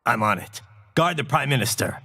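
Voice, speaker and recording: An actor playing a stern, gritty cyborg bodyguard in a video game, with a raspy voice. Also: male voice, mid-range voice, expressive, brisk, bright, forceful tone, heavily acted. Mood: gruff, determined. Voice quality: very gravelly, raspy.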